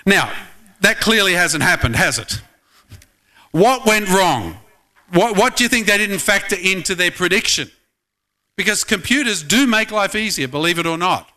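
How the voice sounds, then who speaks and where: A middle-aged man preaches in a loose, conversational way and keeps putting questions to his congregation. His voice sits high for a man and has a bright, forceful edge. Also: animated, normal speed. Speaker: pastor. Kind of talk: sermon.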